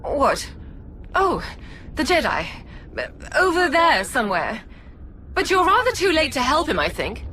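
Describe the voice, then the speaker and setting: A woman's voice: high voice, very animated, a little slow, long pauses, bright, forceful tone, slight background noise, full character voice. A woman playing a haughty, theatrical Sith scientist villain in a video game, with grandiose, disdainful diction. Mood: contemptuous. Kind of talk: video game cutscene acting.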